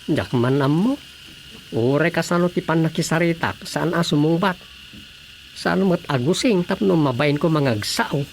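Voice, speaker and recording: A man's voice: on the high side, a little slow, gravelly, slight background noise. A man with an Ilocano accent acting a grumbling, put-upon village councilman in a radio drama comedy. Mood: exasperated.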